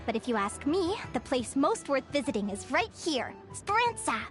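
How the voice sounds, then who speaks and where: A woman's voice: sits high, sing-song, moderate pace, faint music behind. A woman voicing a bubbly, curious young female guild advisor in a video game, with a light girlish voice and a chirpy, gushing manner. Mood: cheerful, lecturing.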